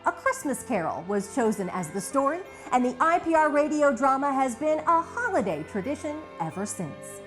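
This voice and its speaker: A woman hosts a retrospective with scripted narration. Her voice sits fairly high and moves with very animated intonation. Faint music plays behind her. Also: moderate pace, hardly any pauses, rough recording.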